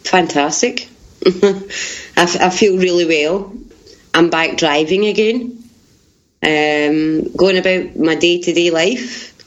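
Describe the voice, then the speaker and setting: A woman with a big, loud voice, telling her story in an animated way as an interview guest and laughing as she goes. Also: fairly low pitch, takes it slowly, dull audio. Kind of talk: casual storytelling.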